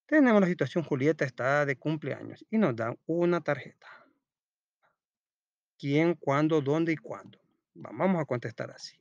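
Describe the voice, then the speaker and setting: Male primary-school teacher, very lively intonation, didactic question-and-answer delivery, recorded dry and slightly dull. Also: a little high, normal speed, gravelly, no echo. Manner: didactic, asks questions and answers them himself. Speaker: teacher presenting a school textbook lesson. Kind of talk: read-aloud storytelling and step-by-step lesson narration.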